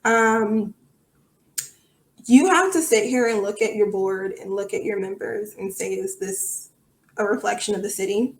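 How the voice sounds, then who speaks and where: Woman, mid-pitched voice, podcast guest, frank and emphatic, conversational, roomy echo. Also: a little slow, a little hiss or hum. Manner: outspoken, emphatic. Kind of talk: conversational interview answers. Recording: echoey room sound.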